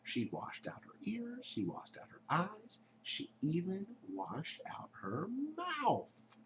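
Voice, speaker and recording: A man reading a children's story aloud in a theatrical way, dropping into hushed tones and chatting to his young listeners. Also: high voice, wildly swooping pitch, slow pace, a little hiss or hum, rough recording.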